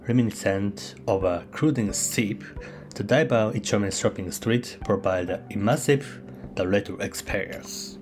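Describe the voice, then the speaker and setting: A man narrating a travel vlog in English that is not his first language, pausing with fillers and halting phrasing. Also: on the low side, darkish tone. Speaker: travel vlogger. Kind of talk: voice-over travel guide.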